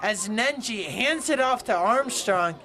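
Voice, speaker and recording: Loud chatty female sports announcer. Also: on the high side, expressive, measured pace. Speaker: livestream play-by-play announcer. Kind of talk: live sports commentary. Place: press box at an outdoor stadium.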